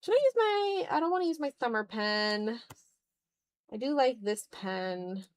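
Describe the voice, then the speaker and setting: A woman's voice: fairly high pitch, expressive, a little slow. A woman livestreamer chatting casually while drawing, thinking aloud in fragments with a self-deprecating manner. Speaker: art livestreamer.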